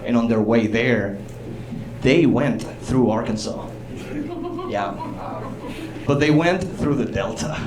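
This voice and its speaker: A man from Chile performs live stand-up comedy, talking conversationally with pauses and bursts of exclamation. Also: mid-range voice, a crowd in the background. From southern Chile. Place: small comedy theater stage, indoors. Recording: roomy live club sound, distant, audience. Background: audience laughter.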